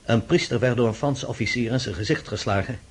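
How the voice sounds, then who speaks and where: An actor in a radio play voicing a deferential, dutiful military aide who reports formally and soberly to his emperor in a husky voice. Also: mid-range voice, fast, natural voice. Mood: grave, reporting. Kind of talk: radio drama acting.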